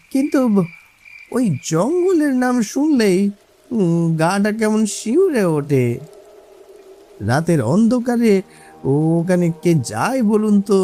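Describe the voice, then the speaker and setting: Horror-story role: a man plays a superstitious, humble boatman, high and wildly swooping voice, trembling and pleading. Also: dark tone, exaggerated voice. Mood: fearful, reluctant. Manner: trembling, hesitant, stammering when afraid. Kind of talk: Bengali horror story character voice.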